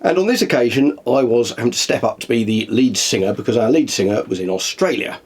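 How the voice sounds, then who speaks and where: A man's voice: mid-range voice, expressive, fast, breathy edge. A middle-aged man, a musician talking casually to camera with a chatty, dry self-deprecating humour. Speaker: musician vlogger.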